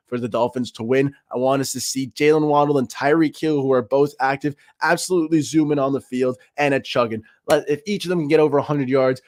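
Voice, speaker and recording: A man's voice: medium pitch, no echo, studio quality. A man in his thirties from Peru, hosting a live-stream sports talk show with hyped, trash-talking banter. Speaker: podcast host.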